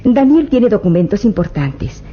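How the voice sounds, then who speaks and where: A woman's voice: mid-range voice, very animated, normal speed, warm tone, dull audio, slight background noise, lightly acted. An actress playing a spoiled young rich woman coaxing her father. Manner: coaxing, persuasive.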